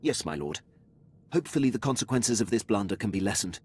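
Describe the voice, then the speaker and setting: An actor playing a dutiful, loyal military officer in a video game, speaking formally and with precise, clipped diction. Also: male voice, fairly low pitch, animated, fast, noisy background, natural voice. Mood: businesslike, urgent. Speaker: starship captain serving a lord.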